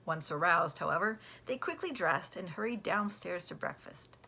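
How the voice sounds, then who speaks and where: Animated woman reading a children's story. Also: lowish voice, moderate pace, creaky, slightly rough. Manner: animated, acts out character voices. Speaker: elementary school teacher reading aloud. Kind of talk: expressive read-aloud of a children's storybook.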